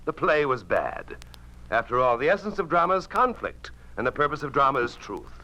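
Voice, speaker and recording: A cynical, principled newspaper critic from an old radio drama. His bright, forceful voice has lively inflection and a dry, pointed edge. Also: medium pitch, brisk, poor audio. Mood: firm, sardonic. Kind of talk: 1950s radio drama acting.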